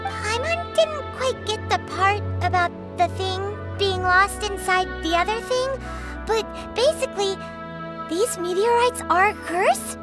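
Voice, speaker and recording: A woman voicing a tiny, curious, excitable fairy companion in a video game, with a squeaky childlike voice. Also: unhurried, over music. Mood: confused. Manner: speaks of herself in third person. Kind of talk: video game character dialogue.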